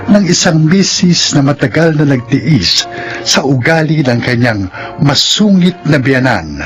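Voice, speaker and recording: A man delivering scripted narration for a Philippine radio drama in a measured announcer's manner. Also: fairly high pitch, very animated, faint music behind.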